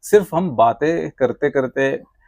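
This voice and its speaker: An Indian man hosts a casual product review with an appreciative, enthusiastic manner. He speaks slowly at an average pitch, and the sound is dry with very little room in it. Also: animated, gravelly, darkish tone, dry recording. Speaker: vlogger interviewing a shop owner about a product. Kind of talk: casual product review chat in mixed Hindi and English.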